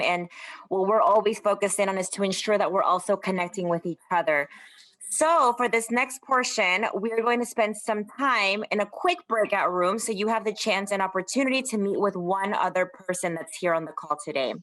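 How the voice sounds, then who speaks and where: Young woman, video-call host, upbeat and drawn-out emphasis, casual fillers. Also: medium pitch, fairly bright tone, rough recording. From California, USA. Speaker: workshop host. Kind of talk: welcoming webinar host.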